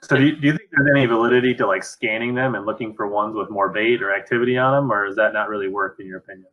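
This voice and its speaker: A man's voice: lowish voice, rapid-fire, highs cut off. A man hosting a fishing show, asking questions and thinking aloud in a casual conversational way. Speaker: podcast host. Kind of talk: casual interview talk. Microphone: webcam on a video call.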